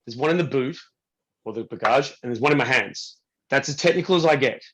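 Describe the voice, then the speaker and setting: A man's voice: medium pitch, brisk, a bit bright, low-quality recording. A man speaking as a webinar panelist, casually telling anecdotes in a self-effacing, jokey way. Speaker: webinar panelist, business-side tech salesman. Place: online webinar.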